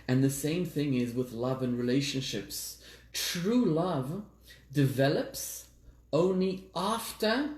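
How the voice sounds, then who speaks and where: A rabbi from Zimbabwe, raised in South Africa, preaches a sermon in a slightly high voice. He tells stories with dramatic pauses and slows down to stress key words. His voice carries around an echoey room.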